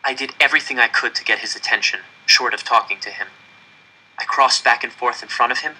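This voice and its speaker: A man narrates a story aloud with a very bright, forceful voice at a medium pitch. His delivery is earnest and suspenseful, shaped as dramatic first-person narration. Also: a little fast, breathy edge, rough recording. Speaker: audiobook-style reader.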